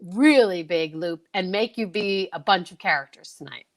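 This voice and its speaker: A woman's voice: lowish voice, normal speed, background noise. A woman co-hosting a live comedy stream with exuberant, teasing, casual banter, hyping up her guests. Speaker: co-host of a live comedy show.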